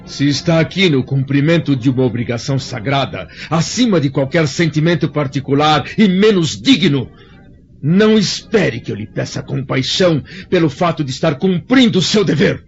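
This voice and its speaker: An actor playing a proud, defeated Roman senator held prisoner in an audio drama, grave, dignified and stoic. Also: male voice, on the high side, lively intonation, normal speed, creaky. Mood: calm, dignified. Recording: dull lo-fi audio with music.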